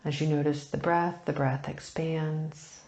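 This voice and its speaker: A woman leading a guided meditation, speaking softly and soothingly in unhurried phrases with long pauses, turning breathy at phrase ends. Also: deep voice, little pitch movement, echoey room. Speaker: meditation teacher.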